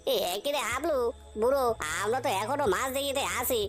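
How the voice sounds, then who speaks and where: A woman voicing an anxious, talkative village fisherman in a cartoon story, with a hurried, fussing manner. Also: high-pitched, soft background music, natural voice.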